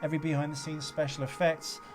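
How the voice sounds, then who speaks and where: Higher-voiced man hosting over music. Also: level tone, fast. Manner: rambling, jokey, informal. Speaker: podcast host. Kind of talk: casual banter and rambling sign-off on a film podcast. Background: music playing behind the voice.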